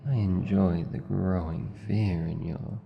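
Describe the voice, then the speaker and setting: A man's voice: fairly even intonation, slow pace, heavily acted. A man with an Australian accent voicing a sleep paralysis demon, menacing yet awkwardly tender, in an intimate ASMR roleplay. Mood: taunting. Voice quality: deep, gravelly. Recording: slightly dull, long reverb.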